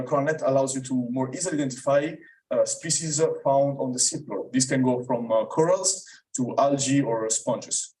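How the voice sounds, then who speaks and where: Halting Italian-accented young man, distant mic. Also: mid-range voice, a little mellow. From Italy. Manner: hesitant, many fillers. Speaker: student presenter. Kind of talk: academic talk. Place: lecture room. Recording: voice from a distance in a lecture room.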